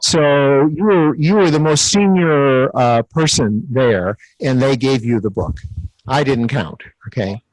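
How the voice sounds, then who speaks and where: A man's voice: mid-range voice, measured pace, a little mellow, dry recording, poor audio. A man hosting a class, jovial and teasing as he banters with a guest.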